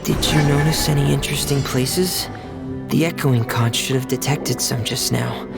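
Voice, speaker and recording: Man playing shy young diver, slightly gravelly. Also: mid-range voice, steady tone, moderate pace, over loud music, natural voice. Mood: gentle, reassuring. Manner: reserved, polite, hesitant, gentle. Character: Freminet, soft-spoken, shy, dutiful young male diver. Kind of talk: video game voice acting.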